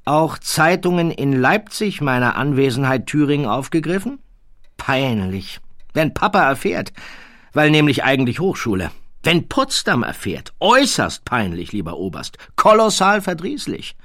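A man's voice: mid-range voice, very animated, a bit bright, no echo, studio quality, voice pushed up. A man voicing a condescending, faintly peeved young aristocratic prince for an audiobook, in clipped, mannered fragments. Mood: peevish worry. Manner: clipped fragmentary sentences, mannered drawling pauses. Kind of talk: audiobook character voice.

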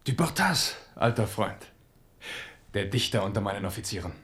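A jovial king, very expressive. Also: on the low side, moderate pace, loud music behind the voice. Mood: soft, earnest. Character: jovial warrior king, hearty with friends and commoners. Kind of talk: German radio drama acting.

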